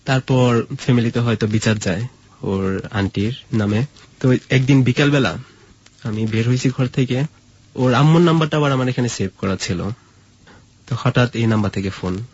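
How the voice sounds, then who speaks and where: A man's voice: mid-range voice, normal speed, a little mellow, husky, lo-fi sound. A young man with a colloquial Bangladeshi accent, casually telling his own story as a guest and quoting the conversations in it. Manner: colloquial, acts out remembered dialogue.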